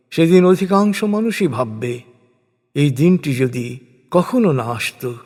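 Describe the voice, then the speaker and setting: A man reading scripture in a solemn, reverent scripted narration, pausing deliberately between phrases. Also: a little high, darkish tone. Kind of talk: solemn scripted religious recitation in prose. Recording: long reverberant hall-like echo.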